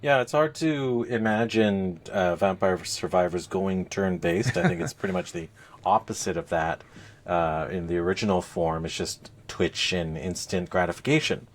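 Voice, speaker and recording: A man's voice: deep voice, lively intonation, moderate pace. A man co-hosting a video game podcast, talking in a casual conversational style.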